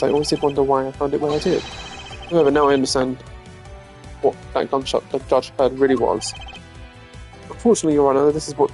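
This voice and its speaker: Man voicing a composed, sardonic young prosecutor, creaky edge. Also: medium pitch, a little mellow, natural voice. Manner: formal, measured, sardonic. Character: composed, dry-witted young male prosecutor in courtroom game. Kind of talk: game character voice-acting.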